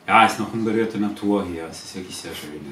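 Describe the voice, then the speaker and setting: A man from Switzerland with a Swiss accent, answering informal interview questions in a halting way, searching for words. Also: fairly low pitch, pushed and bright. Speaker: interviewee, eco-farm owner. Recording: echoey, distant, noisy ambient recording.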